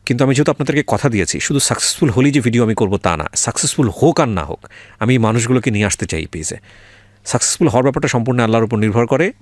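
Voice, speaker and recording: Male interview host, Bangladeshi accent, very animated intonation, mid-pitched, close dry studio sound. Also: dry recording. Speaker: host of a life-story interview show. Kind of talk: conversational interviewing, addressing guests and audience.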